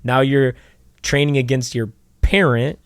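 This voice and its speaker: A man co-hosting a podcast as a basketball trainer, talking loudly with lots of fillers and restarts. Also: medium pitch, fairly even intonation, unhurried, smooth, no echo. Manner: loud, rambling with many fillers and restarts. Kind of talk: casual podcast chat.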